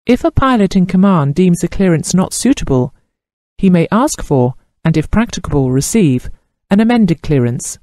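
A man reading scripted instructional narration in a formal, measured manner with precise enunciation. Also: sits high, sing-song, mellow, no echo. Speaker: training course narrator.

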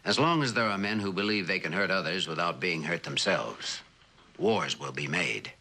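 An actor playing an earnest, stubborn male scientist in a mid-century television drama, speaking in a formal, measured, persuasive way. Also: sits low, lively intonation, bright, forceful tone, full character voice. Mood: solemn, emphatic. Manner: formal, measured, deliberate speechmaking delivery.

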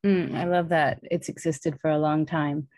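A woman's voice: sits low, level tone, normal speed, a little mellow, no echo. A middle-aged woman on a video call, asking questions casually as an interviewer. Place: room with open wooden doors. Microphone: video call, close to the computer.

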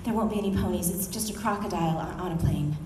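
A woman's voice: lowish voice, quick pace, a little mellow, far from the mic, slight background noise, natural voice. Woman playing a flustered assistant, echoey hall. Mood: apologetic, anxious. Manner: stammering, flustered, polite. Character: frazzled, apologetic, eager-to-please female assistant. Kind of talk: animated series table read. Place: large convention panel room.

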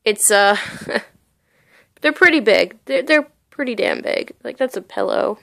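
A woman's voice: on the high side, expressive, a little slow, vocal fry, a bit bright. A young woman showing off a costume for sale in her bedroom, talking casually and gushing with lots of "like" and "really, really". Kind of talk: casual show-and-tell vlog. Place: bedroom, indoors.

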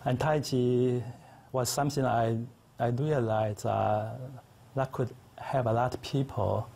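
A middle-aged man whose first language is Chinese, speaking as a guest in a TV studio interview with earnest, simple phrasing and thoughtful pauses. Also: mid-range voice, steady tone, gravelly, a little mellow. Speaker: interview guest, tai chi teacher. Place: local TV studio set.

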